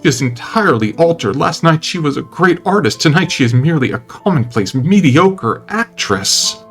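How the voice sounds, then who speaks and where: A man voicing a passionate, petulant young gentleman with hard, bitter emotion in an audiobook, music behind. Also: on the high side, sing-song, moderate pace, voice pushed up. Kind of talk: dramatic audiobook character reading. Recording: music under the voice.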